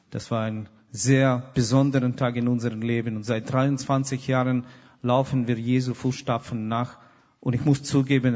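A man's voice: mid-range voice, a little mellow, highs cut off. Earnest man in a reverberant hall. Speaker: guest. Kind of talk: personal testimony.

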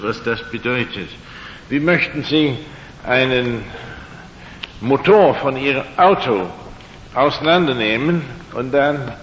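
Older guy, german not his first language, slow halting lecture w/ dry jokes, roomy echoey sound. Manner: slow, halting, with dry humor and many fillers. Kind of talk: evening lecture on creation and faith. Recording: dull, echoey hall recording with faint rumble.

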